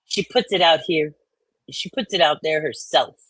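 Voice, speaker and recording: A woman bantering casually and reading online comments out loud in a blunt, sweary, folksy way. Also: deep voice, normal speed, no room sound, poor audio. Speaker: co-host reading comments aloud.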